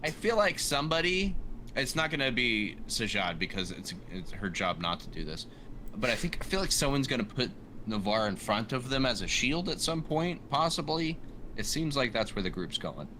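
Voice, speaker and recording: A man's voice: medium pitch, sing-song, normal speed, bright, forceful tone, poor audio. A man chatting casually as a tabletop game player, with a hesitant, dryly joking manner. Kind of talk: casual chat, character introduction. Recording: noticeable background noise.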